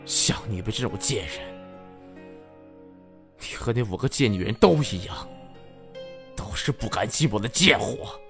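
A man's voice: on the low side, expressive, measured pace, gravelly, long pauses, a bit bright, soft background music, exaggerated voice. A man playing a menacing, bitter, deranged killer in an audio drama, accusing and full of contempt. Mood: venomous rage.

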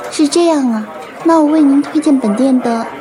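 A woman's voice: sits high, a little fast, lightly acted. A woman acting an overworked young waitress and caring older sister in a radio drama. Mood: polite, hurried.

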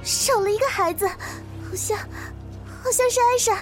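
A woman's voice: very high-pitched, unhurried, music in the background. A woman playing an earnest, emotional young caretaker of children in a zombie audio drama. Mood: worried. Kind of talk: Chinese fan audio drama acting.

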